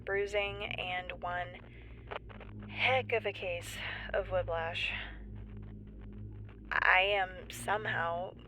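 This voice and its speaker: An actress performs a nervous female geologist stranded in a sci-fi audio drama. Her mid-pitched voice crackles with vocal fry. She rambles haltingly and apologetically while working to stay composed, over a noticeably noisy ambient bed. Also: bright, forceful tone. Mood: shaken, wry. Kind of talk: audio drama monologue, found-footage log.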